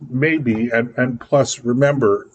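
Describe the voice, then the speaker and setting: A man's voice: mid-range voice, fairly even intonation, slow, husky. A man co-hosting a political talk radio show, chatting casually and often repeating words as he works out his thoughts. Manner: rambling, repeats words while thinking.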